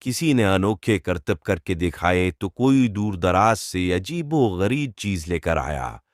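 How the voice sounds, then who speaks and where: A man narrating a scripted children's story as an expressive storyteller. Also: low voice, slow pace, dry recording, clean studio sound.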